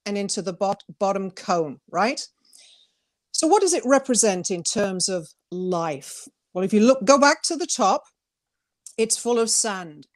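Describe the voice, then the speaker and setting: A woman co-hosting an inspirational talk show in an effusive, expressive way. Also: mid-range voice.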